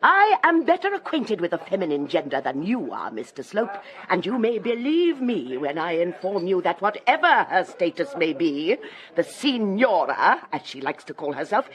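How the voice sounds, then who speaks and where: An actress plays a domineering, middle-aged English bishop's wife in a period radio drama. She is indignant and strict, with emphatic formal diction and a mid-pitched voice that rises and falls dramatically. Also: sing-song, normal speed, close to an everyday voice. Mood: scandalised, reproving.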